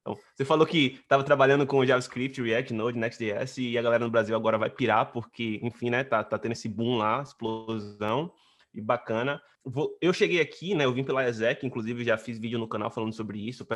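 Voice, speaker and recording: A man's voice: medium pitch, fairly even intonation, fairly quick. A man hosting a casual interview in an informal, chatty way. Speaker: host, interviewer.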